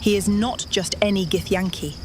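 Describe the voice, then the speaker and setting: Actress as calm, inquisitive heroine, mid-pitched. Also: female voice, normal speed, noisy background, lightly acted. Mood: curious. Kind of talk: dramatic game dialogue.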